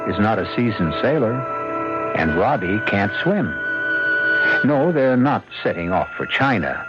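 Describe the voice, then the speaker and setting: A man's voice: lowish voice, very animated, a little slow. An actor as the ominous male storyteller hosting and narrating an old-time radio mystery drama. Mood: foreboding. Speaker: host and narrator. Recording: dull radio recording, loud music.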